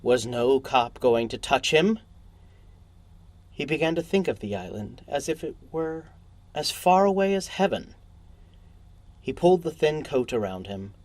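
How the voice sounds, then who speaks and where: A man reading a short story as a narrator, in deliberate, measured phrases. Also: medium pitch, animated, a little mellow.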